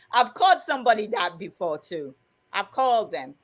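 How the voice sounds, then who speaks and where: A woman co-hosting a live chat stream, telling animated stories, laughing often and reading viewer comments aloud. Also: medium pitch, measured pace. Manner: animated, laughs often, acts out quoted dialogue. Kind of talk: casual chat, storytelling.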